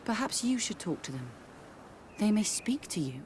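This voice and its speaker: An actress playing a serious, determined investigator in a fantasy video game, formal and businesslike. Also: female voice, mid-range voice, sing-song, normal speed, slight background noise, close to an everyday voice. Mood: serious, frustrated.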